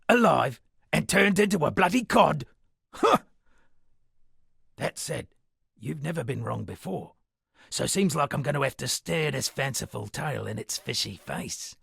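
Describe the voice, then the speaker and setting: An actor voicing an eccentric washed-up sea captain and would-be poet in a video game, gravelly and husky, with theatrical, rambling, florid delivery. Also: male voice, medium pitch, fairly bright tone, dry recording, studio quality, heavily acted. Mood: excited, incredulous. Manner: theatrical, rambling, florid old seafaring turns of phrase. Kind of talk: video game character dialogue.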